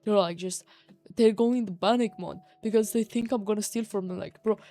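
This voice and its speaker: Female high schooler, Romanian accent, mellow tone, exasperated slangy vlog rant, music underneath. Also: moderate pace. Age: teenager, high schooler. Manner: exasperated, slangy, emphatic with swearing. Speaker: vlogger. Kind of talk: casual personal rant.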